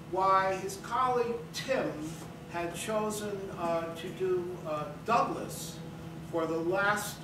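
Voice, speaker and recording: A high-voiced man introduces a staged reading at a very slow pace. He talks deliberately, breaking his sentences into short phrases. The recording is very echoey, picked up away from the mic. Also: distant mic. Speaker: presenter of a staged book reading. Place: indoor theater, staged reading. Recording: echoey, noisy theater recording from off.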